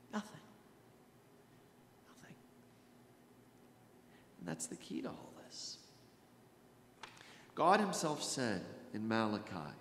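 Middle aged american guy giving a sermon, deepish voice, big pauses, echoey church w some background noise. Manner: emphatic, dramatic pauses and repetition, often dropping to a hush. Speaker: Lutheran pastor. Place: church sanctuary at a pulpit. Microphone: gooseneck pulpit microphone.

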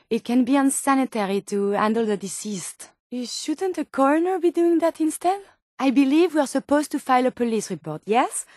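A woman's voice: sits high, a little mellow, no echo, clean studio sound. An actress voicing a polite, earnest, curious female medical robot companion in a video game, with formal, precise, quaint phrasing.

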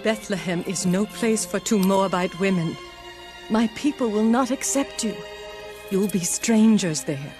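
An actress playing a selfless, sorrowful older widow in an animated Bible film, solemn and pleading. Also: female voice, mid-range voice, unhurried, close to an everyday voice. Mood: resolute, sad. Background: music.

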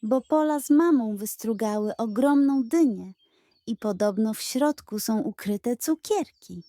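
A woman voicing a lively, knowing female goat who explains things eagerly and teases, in a children's audio story. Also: on the high side, unhurried, no echo, studio quality. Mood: teasing.

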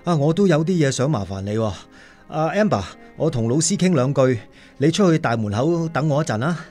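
A man's voice: on the high side, expressive, fairly quick, darkish tone, soft background music, natural voice. A man acting as a hardworking, devoted father in a radio drama, apologetic and hesitant, with a Hong Kong Cantonese accent. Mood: apologetic, hurried.

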